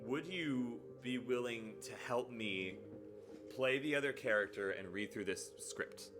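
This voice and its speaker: Male streamer host, mid pitch, playful and jumpy, music bed. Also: a little slow. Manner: playful and animated, with sudden excited jumps in pitch. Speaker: livestream host. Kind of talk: casual live stream chat.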